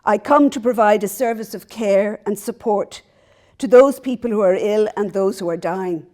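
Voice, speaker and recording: A middle-aged Irish woman gives a university lecture, her voice mellow and soft-edged. She speaks earnestly, with small self-deprecating laughs between her points. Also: mid-range voice, moderate pace. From Ireland. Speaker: professor giving a lecture. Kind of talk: public lecture. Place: lecture hall.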